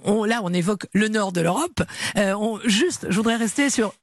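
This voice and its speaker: A woman hosting a radio interview, playful and quick to laugh with her guest. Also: medium pitch, lively intonation, dry recording, clean studio sound. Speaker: radio host.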